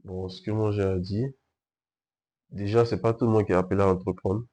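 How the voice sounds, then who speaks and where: A young man from Ivory Coast, a guest answering in a casual interview, calm and hesitant, searching for his words. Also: fairly low pitch, brisk. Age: young adult. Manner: hesitant, calm, frequent fillers and restarts. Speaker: guest entrepreneur.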